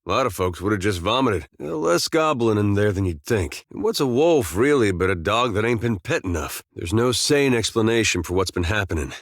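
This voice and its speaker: A man playing an easygoing, wry farmer turned adventurer in a video game, with a laid-back, deadpan, folksy way of talking. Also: fairly low pitch, moderate pace, few pauses, no room sound, clean studio sound. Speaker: video game companion character. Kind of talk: game dialogue and banter.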